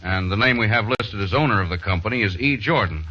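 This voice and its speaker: A man's voice: on the low side, expressive, fast talker, bright, forceful tone. A man playing a helpful, matter-of-fact harbor policeman in a 1940s radio drama, speaking informally. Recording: muffled, faintly noisy old radio recording.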